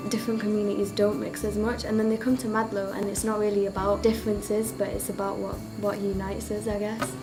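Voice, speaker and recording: A teenage girl from talks in a chatty way, dropping "like" all through her sentences. Her pitch stays flat and even. Background music plays under her voice. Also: fairly quick, hardly any pauses. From Oldham, England. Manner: chatty, many fillers of "like". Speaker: youth council member. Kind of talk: casual group interview.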